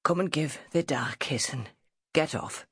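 A woman's voice: sits low, a little slow, breathy edge, no echo, clean studio sound, heavily acted. No-nonsense mother played by a woman narrator, curt, decisive and unsentimental, for an audiobook saga. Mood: firm, brisk. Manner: curt, firm, matter-of-fact. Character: brisk, hard-willed Northern mother, unsentimental and decisive.

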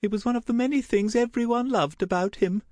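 Man as a gentle, high-voiced older mother. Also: fairly quick, no room sound, studio quality. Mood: fond, tremulous. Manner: soft, wistful, genteel. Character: gentle, tender-hearted, sorrowful widowed older mother. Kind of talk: audiobook character acting.

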